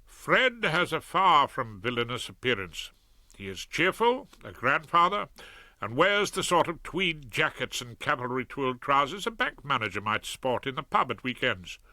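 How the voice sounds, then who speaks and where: Man with a bright, wry narrating voice. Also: medium pitch, big pitch swings, normal speed, no room sound. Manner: wry, dry, droll. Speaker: audiobook narrator. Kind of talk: first-person comic narration and dialogue.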